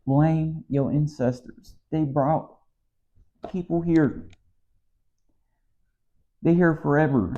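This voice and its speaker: A man's voice: on the high side, slow, long pauses, warm tone. An American man with a Southern accent, ranting in a weary, exasperated way in a casual vlog monologue. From United States. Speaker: vlogger. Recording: slightly dull lo-fi sound.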